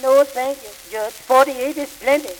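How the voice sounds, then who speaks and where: Laconic janitor, drawls out a dry story. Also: male voice, very high-pitched, a little slow, rough recording. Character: wry Southern shop janitor, man, laconic. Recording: hissy old record.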